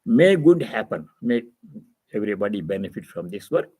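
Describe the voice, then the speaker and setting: Man giving a measured spiritual discourse. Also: lowish voice, very animated, warm tone, husky. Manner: didactic, repeats phrases for emphasis. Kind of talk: spiritual discourse, reading and explaining scripture.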